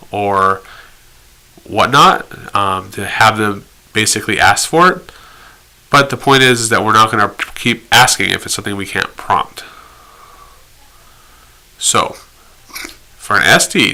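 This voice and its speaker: A man's voice: on the low side, bright, forceful tone, rough recording. A man giving an explanatory training lecture as an instructor, direct and instructional with conversational asides. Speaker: instructor in behavior therapy training.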